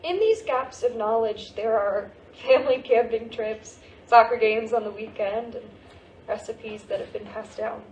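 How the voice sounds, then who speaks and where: In a roomy, echoing theatre with faint noise, a woman plays a teenage American girl searching for who she is. Her voice is fairly high, and she delivers an emotional stage monologue full of grief. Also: measured pace, darkish tone, lo-fi sound. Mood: tender, resolved.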